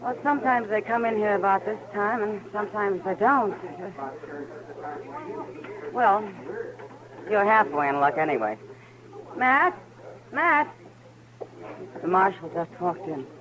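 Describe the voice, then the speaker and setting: A woman's voice: mid-range voice, animated, takes it slowly, long pauses, a crowd in the background, poor audio. Confident saloon woman, American accent, dry and teasing, easygoing, radio western, busy background, vintage broadcast. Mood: helpful. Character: self-assured, wry female saloon keeper. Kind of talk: 1950s radio western acting. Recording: old radio recording with background bustle.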